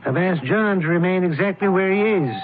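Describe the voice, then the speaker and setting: A man playing a shrewd, composed doctor sleuth with a measured, probing, courteous manner in a radio drama. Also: sits high, close to an everyday voice. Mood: composed, scheming. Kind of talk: old-time radio drama acting. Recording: thin, noisy phone-like vintage radio recording.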